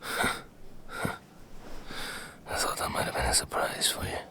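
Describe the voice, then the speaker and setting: An actor playing a bitter, sardonic, wounded man in an audio drama, speaking in a hushed, breathy voice. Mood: bitter, guarded. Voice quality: breathy, whispery, very deep voice. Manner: slow, hushed, probing and sardonic.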